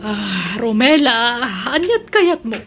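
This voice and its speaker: A woman's voice: fairly high pitch, big pitch swings, fairly bright tone, faint music behind, exaggerated voice. A woman with an Ilocano accent acting in a radio drama as a bossy, suspicious wife who employs a maid. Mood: businesslike. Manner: brisk, commanding, suspicious.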